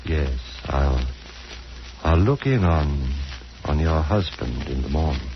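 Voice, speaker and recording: Deep-voiced composed doctor, old radio. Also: male voice, animated, creaky, heavily acted. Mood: calm, reassuring. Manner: measured, authoritative, turning cutting. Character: composed eye doctor, bitter spurned former lover. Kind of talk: 1940s radio acting. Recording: muffled, noisy vintage radio recording.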